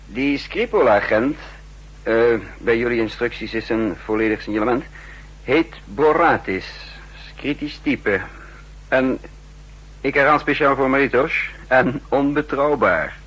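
An actor playing a brisk, sardonic counterespionage chief who schemes and commands, in a 1960s radio play. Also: male voice, medium pitch, fairly bright tone, low-quality recording. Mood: commanding. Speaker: narrator and lead character. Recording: husky-sounding old 1960s radio recording.

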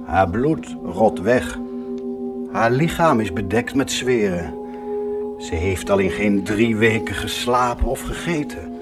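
An actor playing a bitter, mocking fallen priest tormented by a devil, in a theatrical, accusing radio drama style. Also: male voice, on the low side, expressive, loud music behind the voice. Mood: cold accusation. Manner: slow, accusing, sardonic, solemn declaiming.

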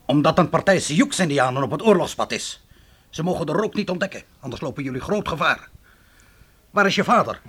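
An actor playing a gruff, commanding frontier trapper in a Dutch radio play, speaking brusquely and urgently. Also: male voice, on the high side, lively intonation, a little fast, a bit bright. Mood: gruff, questioning. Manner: brusque, urgent, giving orders.

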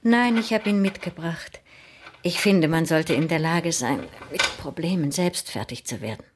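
Schauspielerin als tiefe, gefasste, eigenständige Dame. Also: female voice, low-pitched, normal speed, noisy background, natural voice. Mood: composed. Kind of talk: German radio play acting. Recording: clinking dishes and clicks behind voice.

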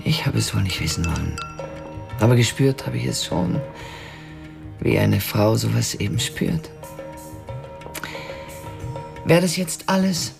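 A woman playing a bitter, haughty betrayed widow in a German radio drama, imperious and cutting, with a low, gravelly voice. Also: expressive, normal speed, full character voice. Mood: wounded, reflective. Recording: music behind the voice.

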